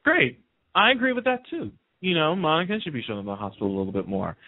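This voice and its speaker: A man's voice: fairly high pitch, big pitch swings, quick pace, dry recording, narrow, tinny audio. A man speaks over a thin, band-limited phone connection with very little room sound. He chats casually as a call-in guest. His delivery is emphatic and opinionated, and he repeats phrases to press his point. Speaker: call-in guest on a radio show. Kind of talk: casual soap opera fan discussion.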